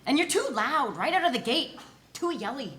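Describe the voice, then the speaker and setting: A chatty, earnest policewoman who stumbles over her words while she nags. Her voice is very high and bright, and it leaps around a lot. A faint hiss sits behind it. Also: sing-song, normal speed. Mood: hesitant. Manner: hesitant, stammering, gently nagging. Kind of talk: live table read.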